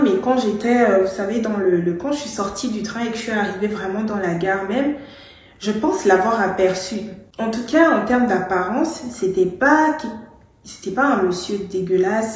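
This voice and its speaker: Young woman from Gabon telling a storytime, chatty and full of fillers, in a very echoey room. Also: mid-range voice. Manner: chatty, with fillers and some English words. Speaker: vlogger. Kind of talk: casual storytime.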